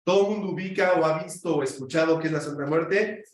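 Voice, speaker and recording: A male teacher with a slightly raised pitch talks informally, gently urging his students to gather round. He is picked up from across an echoey classroom, with a faint hum of ambience behind him. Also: fast talker, far from the mic. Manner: informal, coaxing students to come closer. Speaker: university teacher, host of the session. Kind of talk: casual classroom hosting. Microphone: far room mic.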